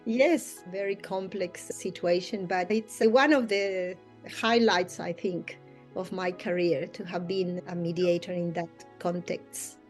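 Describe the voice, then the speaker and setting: Spanish-native woman mediator, earnest, laughs a lot, soft music under her voice. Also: lowish voice, steady tone, unhurried. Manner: earnest, laughs often, slight non-native phrasing. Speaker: mediator, interview guest. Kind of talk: reflective interview answers. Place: Australia.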